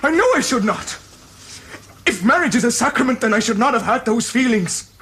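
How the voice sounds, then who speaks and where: A bright, expressive voice playing a devout young husband torn by guilt and forbidden love. His period drama delivery is formal, earnest and anguished, ranging widely in pitch. Faint ambient noise sits behind him. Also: brisk, exaggerated voice. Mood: fervent. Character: guilt-ridden, devout, lovelorn married young man. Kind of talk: period radio drama acting.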